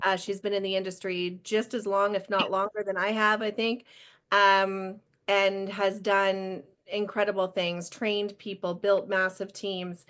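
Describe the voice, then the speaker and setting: A woman's voice: mid-range voice, little pitch movement, measured pace, fairly bright tone, clear, resonant tone. A woman hosting a video call, enthusiastic and encouraging as she introduces a guest. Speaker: host.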